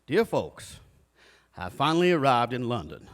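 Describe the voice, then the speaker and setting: A man's voice: medium pitch, very animated, moderate pace, natural voice. An actor playing an earnest young adventurer reading out his letters home in a live stage drama, plain-spoken and declamatory. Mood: wry.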